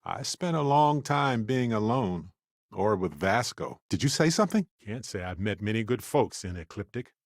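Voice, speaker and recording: An actor playing a chatty, good-natured space explorer in a video game, speaking in a playful, conversational way with wry expressiveness. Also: male voice, lowish voice, big pitch swings, normal speed, no room sound, clean studio sound. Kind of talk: video game companion voice lines.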